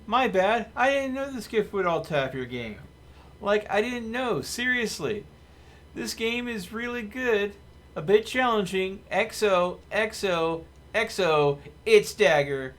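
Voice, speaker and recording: A man live streaming a video game, chatting casually to viewers in an expressive, playfully mock-dramatic way. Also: high-pitched. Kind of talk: casual gaming commentary to viewers. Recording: music behind the voice. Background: music.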